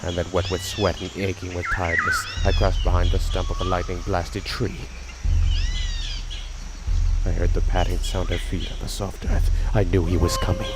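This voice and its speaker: A man's voice: sits low, flat delivery, a little fast, few pauses, heavy background noise, close to an everyday voice. A man acting as a hunted fugitive, narrating his desperate flight in an intense, suspenseful radio drama style. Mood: hushed suspense. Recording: loud music and insects behind voice.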